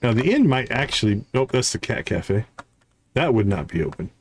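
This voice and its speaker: A man's voice: mid-range voice, very animated, moderate pace, slightly rough. A man giving casual live gameplay commentary, thinking aloud as he counts off items and talks through his tasks. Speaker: live streamer, gaming host.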